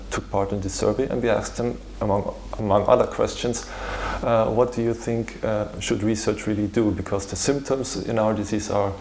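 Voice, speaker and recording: A man with an Austrian accent and German as his first language, explaining his work in an interview in a halting, searching way. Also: on the low side, vocal fry, a little mellow, slight background noise. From Vienna, Austria. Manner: hesitant, halting delivery with repeated words. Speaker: patient organization founder, interviewee. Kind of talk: interview answer, explanatory talk.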